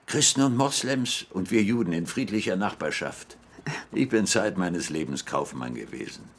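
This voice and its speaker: An actor playing a genial, nostalgic older merchant in a radio drama, with a hesitant, reminiscing manner. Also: male voice, deep voice, lively intonation, normal speed, slightly rough, exaggerated voice.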